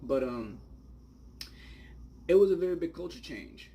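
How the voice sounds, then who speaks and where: A man's voice: fairly high pitch, animated, unhurried, dark tone, slight background noise. A man in his late twenties from Bangladesh, bluntly telling his personal faith testimony in a casual, rambling way. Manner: blunt, candid, rambling with fillers. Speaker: testimony speaker. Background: guitar music.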